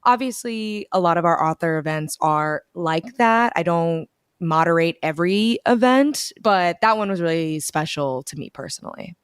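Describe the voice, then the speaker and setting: A young American woman talks over a video call with a smooth, clear voice that sits a little low. The sound is dry and close, with no room around it. She chats easily and acts out her own thoughts in quoted asides. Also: normal speed, no room sound. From United States. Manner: chatty, animated storytelling with quoted asides. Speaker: bookstore events director, guest.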